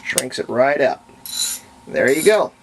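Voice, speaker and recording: Male hobbyist demonstrator, average pitch, notable vocal fry, unhurried homespun delivery, thinking aloud on the job. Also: expressive, rough recording. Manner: folksy, unhurried, thinking aloud while working. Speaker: radio repair hobbyist demonstrating. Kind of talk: casual hands-on tutorial narration. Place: indoors at home, hands-on at workbench. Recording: faint background noise, home workshop.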